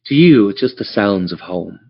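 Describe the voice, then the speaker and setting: A man's voice: on the low side, expressive, normal speed, creaky, slightly rough. A man running a tabletop role-playing game, narrating scenes in a descriptive, theatrical storyteller's manner. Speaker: game master. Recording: dull lo-fi audio.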